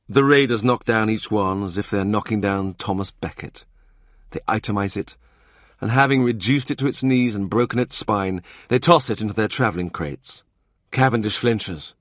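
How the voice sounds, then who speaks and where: Low-voiced male audiobook narrator. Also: fairly bright tone, no echo, clean studio sound. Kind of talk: scripted narration of a historical novel.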